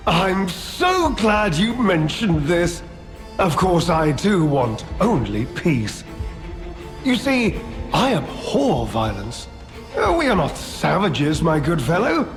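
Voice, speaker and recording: Slimy posh-talking game villain, highish voice, slow and theatrical, loud music behind. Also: male voice, wildly swooping pitch, heavily acted. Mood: mock-polite. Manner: pompous, mocking, drawn-out genteel phrasing. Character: smug, theatrical, treacherous gentleman villain.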